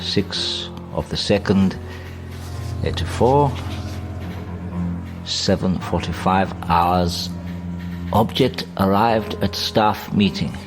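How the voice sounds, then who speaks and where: An actor playing a cold, detached secret police informer formally dictating a report in a radio drama. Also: male voice, low-pitched, slow pace, pauses often. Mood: cold, official. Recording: music bed under the voice.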